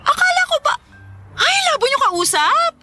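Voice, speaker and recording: An actress playing a nervous, lovestruck young daughter in a Filipino radio drama, expressive and easily exasperated. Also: female voice, very high-pitched, normal speed, loud music behind the voice. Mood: exasperated, teasing. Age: young adult.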